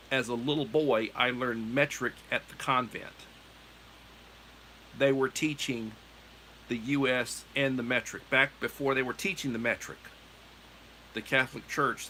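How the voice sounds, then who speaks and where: A man's voice: mid-range voice, sharp tone, a little hiss or hum, poor audio. A middle-aged American man hosting a livestream, chatting in a meandering, self-correcting way. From United States. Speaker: livestream host. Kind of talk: casual rambling chat.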